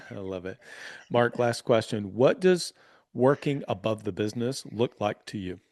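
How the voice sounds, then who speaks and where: A man hosting a business podcast, chatting casually with his guest and reading sponsor plugs in an energetic, affirming way. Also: lowish voice, a little slow. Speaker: podcast host. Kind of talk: casual interview and ad reads.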